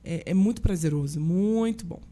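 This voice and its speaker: A woman's voice: on the low side, normal speed, warm tone. A woman, a pastor with a Brazilian accent, recalling experiences in an easygoing conversation, often adding "né" as a filler. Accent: Brazilian Portuguese. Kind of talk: casual podcast conversation.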